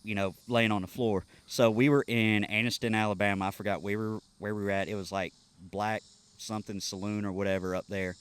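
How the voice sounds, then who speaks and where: A man hosts a laid-back podcast, rambling through stories with plenty of fillers and easy laughs. His voice sits a little low. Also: steady tone, brisk, smooth. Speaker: podcast host. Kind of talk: casual chat.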